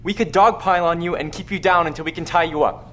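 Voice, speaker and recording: A man's voice: fairly high pitch, steady tone, fast talker, a bit bright, lightly acted. An actor playing a hot-headed, confrontational male student among survivors in an audio drama, over faint background noise.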